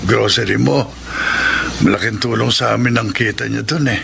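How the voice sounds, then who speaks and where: An actor playing a humble, worried middle-aged father with a gravelly voice in a Filipino radio drama. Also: fairly low pitch, normal speed. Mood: grateful. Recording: noisy radio drama recording.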